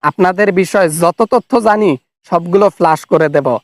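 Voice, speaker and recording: A man's voice: high voice, fairly quick, no echo, clean studio sound, natural voice. A man voicing a defiant, accusatory fugitive official in a comic cartoon phone argument. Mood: angry, threatening. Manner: accusatory, threatening, colloquial. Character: angry, defiant fugitive male official, funny cartoon caricature.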